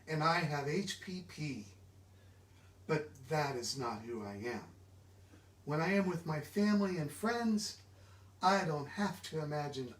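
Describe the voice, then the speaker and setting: A man reading a children's book aloud, sometimes stumbling over long words. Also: fairly high pitch. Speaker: book reader. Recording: echoey room, distant microphone.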